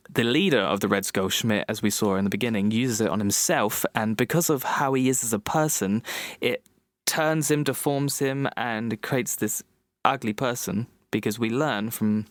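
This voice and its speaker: A man's voice: lowish voice, no room sound. A man co-hosting a podcast from home over an internet call, retelling film scenes eagerly and apologising as he goes. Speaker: podcast co-host. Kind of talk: casual chat about a film. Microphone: home mic on a video call.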